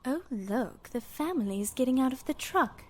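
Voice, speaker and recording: A woman's voice: high voice, animated, moderate pace. A woman playing a sadistic, imperious female weather mage in an audio drama, taunting her prey. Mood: gloating. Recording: clear background noise.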